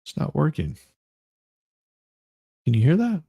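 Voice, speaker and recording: A man hosting a livestream, moving between casual chat and mock-dramatic readings with theatrical, drawn-out emphasis. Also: on the high side, huge pitch swings, creaky, warm tone, husky, no echo, studio quality. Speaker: livestream host.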